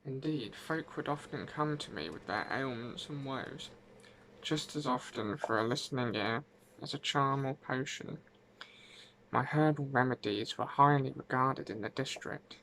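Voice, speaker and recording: A man voicing the ghost of a gentle, wronged herbwoman in her eighties, telling a folk tale in an unhurried, wry way. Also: medium pitch, vocal fry, slight background noise, rough recording. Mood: wistful, proud. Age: 80s. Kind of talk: first-person folk tale narration.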